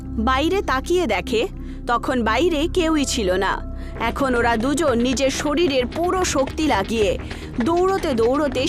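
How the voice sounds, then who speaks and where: Female narrator for animated horror tales, high voice, scripted storytelling over a music bed. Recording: background music under the voice.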